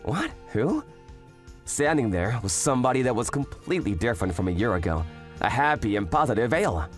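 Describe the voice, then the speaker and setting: A man's voice: medium pitch, a little fast, close to an everyday voice. A young man playing an earnest office worker in a manga dub, narrating his story and voicing his lines expressively. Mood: panicked, startled. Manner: animated, expressive, swings between narration and exclamation. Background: music.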